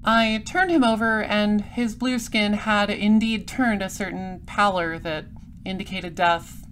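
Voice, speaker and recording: An actress playing a sardonic, self-assured space detective and narrator, with a dry, businesslike delivery. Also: female voice, medium pitch, measured pace, a bit bright, a little hiss or hum, natural voice. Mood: narrating. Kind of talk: audio drama acting and first-person narration.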